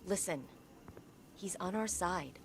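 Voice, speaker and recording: A woman's voice: on the low side, expressive, slow pace, background noise. A woman playing an earnest, determined soldier and tech expert in a video game, speaking persuasively.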